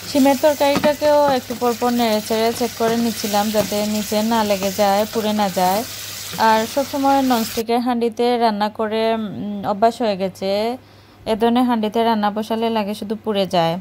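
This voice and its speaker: A woman's voice: a little high, brisk. A woman with a Bangladeshi accent gives casual voice-over narration as a home cooking vlogger. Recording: clear background noise, home recording.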